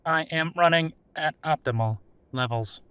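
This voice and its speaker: A man's voice: a little high, moderate pace, bright, forceful tone, smooth, no echo. A man voicing an old artificial intelligence in an audio drama, formal, literal and emotionless in a stilted manner. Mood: cold, formal. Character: old male-voiced artificial intelligence, cold, literal, guarded.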